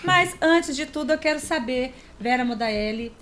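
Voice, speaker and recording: Woman, Brazilian accent, high voice, podcast host, effusive interview chat, some background noise. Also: animated, moderate pace, a bit bright.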